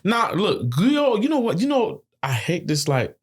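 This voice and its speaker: Young man, native haitian creole speaker, easygoing storyteller, slightly high voice, lively pitch. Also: measured pace, breathy edge. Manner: easygoing, storytelling, laughs often. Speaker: podcast co-host. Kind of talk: casual comedic chat.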